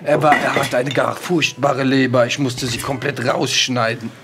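A man's voice: mid-range voice, steady tone, normal speed, a bit bright, slight background noise, close to an everyday voice. Man playing a pompous French surgeon. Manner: pompous, formal.

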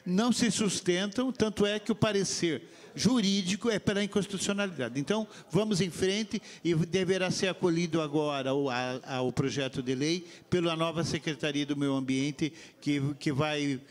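A man in his fifties with a São Paulo accent speaks into a handheld microphone at a council meeting. His voice sits a little high, and he stumbles over words as he goes. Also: normal speed. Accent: Brazilian Portuguese, São Paulo state. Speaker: city councilman. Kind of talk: council floor remarks.

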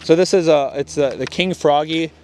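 A man's voice: on the high side, normal speed, a little mellow. A young man hosting a fishing video, rambling through a casual gear test on a lakeshore and often restarting his sentences. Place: outdoors on a lakeshore. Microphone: chest-mounted camera.

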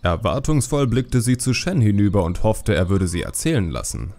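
A man narrating a fantasy audiobook in an expressive, descriptive storytelling style. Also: fairly low pitch, normal speed, faint music behind.

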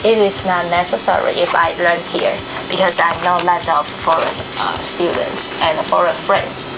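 A woman's voice: lowish voice, steady tone, normal speed, noisy background. A woman from Taiwan, a student speaking plainly and tentatively. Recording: music bed behind voice.

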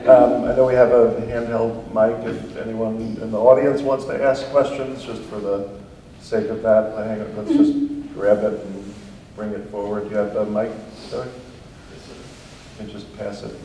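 Low-voiced male moderator in an echoey room. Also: steady tone, normal speed, mellow. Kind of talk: moderating a panel talk. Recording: echoey room, distant mic, noise.

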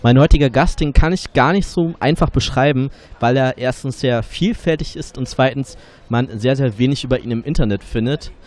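A man's voice: medium pitch, fairly quick, a little mellow. A man in his twenties with a slight cold, hosting a casual interview with a handheld mic outside a café. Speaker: interviewer and host. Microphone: handheld reporter microphone.

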